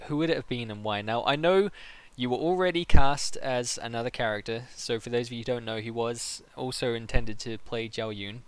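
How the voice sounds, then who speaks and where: A man's voice: mid-range voice, smooth. A man hosting a live-streamed interview in a casual, conversational way, putting questions and addressing viewers. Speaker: interviewer and livestream host.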